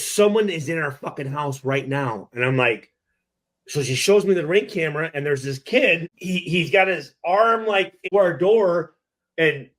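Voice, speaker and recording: A middle-aged man telling a casual story with heavy swearing, halting and repeating himself as he acts out exchanges. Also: on the high side, fairly bright tone. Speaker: podcast guest telling a story.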